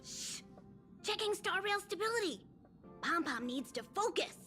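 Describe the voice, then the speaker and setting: A tiny cute creature from a video game, working as a train conductor. The voice is extremely high and bright. It sounds bossy and officious over faint music. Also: female voice, expressive, normal speed, full character voice. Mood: officious, focused. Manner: speaks of self in third person, officious. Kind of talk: scripted video game voice acting.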